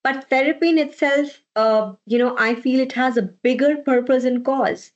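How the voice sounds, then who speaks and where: Woman host asking curious questions, kinda high voice, muffled lo-fi sound. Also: steady tone, normal speed. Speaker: podcast host. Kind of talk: interviewing a guest.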